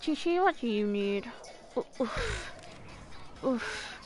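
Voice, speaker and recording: A woman with a high-pitched voice streams a video game. She thinks aloud in short, casual muttered phrases. Soft music plays behind her. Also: very animated, takes it slowly. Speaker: livestreamer playing a video game.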